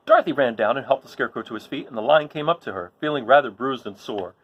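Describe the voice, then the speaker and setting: A man reading a children's story aloud in an expressive style, as the host of a read-along. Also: mid-range voice, quick pace, dull audio.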